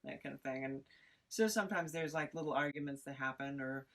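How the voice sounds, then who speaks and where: A woman talking casually as a podcast director, in a rambling, halting, unscripted way. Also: a bass voice, fairly bright tone.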